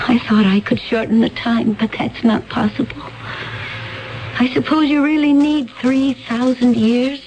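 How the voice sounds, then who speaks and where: Raya is a calm otherworldly woman voiced by an actress in an old radio drama. Her voice sits a little high, and she speaks with slow, unhurried serenity. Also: slight background noise, lightly acted, low-quality recording. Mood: serene. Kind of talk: 1970s radio drama acting.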